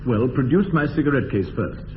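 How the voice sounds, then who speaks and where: A man acting as a stuffy young English gentleman sounds out through a narrow, tinny radio recording with long reverb. He is upper-class, evasive and easily affronted, his pitch rising and falling sharply over formal phrases. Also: animated, a little fast, slight background noise, lightly acted. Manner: pompous, indignant, clipped formal phrasing. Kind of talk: radio drama acting of period comedy.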